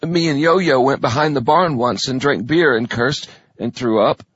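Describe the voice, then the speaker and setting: A man's voice: medium pitch, moderate pace, a bit bright, husky, no echo, lo-fi sound. A man voicing a homesick young male recruit who whines and snivels. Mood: whining. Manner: whining, sniveling.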